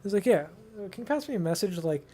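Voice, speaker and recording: A man's voice: sits high, big pitch swings, fast, dark tone. A young American man telling stories casually to a friend, rambling and slangy, often repeating himself. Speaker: video host. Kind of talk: casual storytelling chat with a friend.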